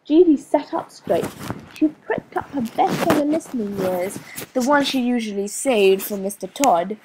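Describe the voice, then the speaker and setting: A woman narrating a children's storybook in a slow, expressive reading with lively emphasis. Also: on the high side, heavy background noise. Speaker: audiobook narrator.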